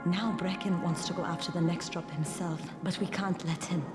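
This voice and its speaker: A woman's voice: lowish voice, steady tone, moderate pace, close to an everyday voice. Urgent actress as a tough survivor woman, music behind. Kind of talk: video game voice acting.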